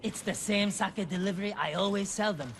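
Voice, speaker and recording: A man acting a nervous, smooth-talking sake merchant and swindler in a video game cutscene, wheedling and excitable. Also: sits high, brisk, slight background noise. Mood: breezy, sly. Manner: wheedling, excitable, self-promoting. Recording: loud music behind voice.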